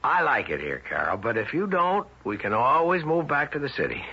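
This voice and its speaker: An actor in an old-time radio drama playing a contented husband who speaks in a placating way. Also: male voice, medium pitch, big pitch swings, fairly quick, sharp tone, breathy edge, full character voice. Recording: muffled, noisy old radio recording.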